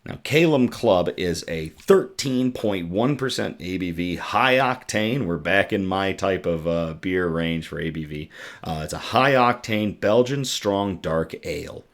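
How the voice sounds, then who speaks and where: Low-voiced raspy man hosting a stream. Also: lively intonation, measured pace. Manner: chatty and loose, with many ums and uhs, reading comments aloud. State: raspy, worn-out voice. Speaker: host of a good-news livestream show. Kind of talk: casual livestream chat. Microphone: desktop USB microphone.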